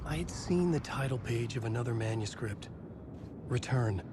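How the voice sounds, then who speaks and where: An actor playing a haunted writer, narrating a video game in a grave, hushed, intense noir style. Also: male voice, medium pitch, animated, normal speed, noisy background, close to an everyday voice. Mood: brooding.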